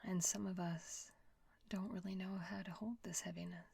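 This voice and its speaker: A woman leading a guided meditation in a soft, whispery, gentle voice with long pauses. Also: fairly low pitch, little pitch movement, creaky, husky, no echo.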